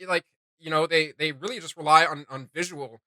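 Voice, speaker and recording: A man chatting casually as a reaction video host, joking, slangy and animated. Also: on the high side, fairly quick, no room sound.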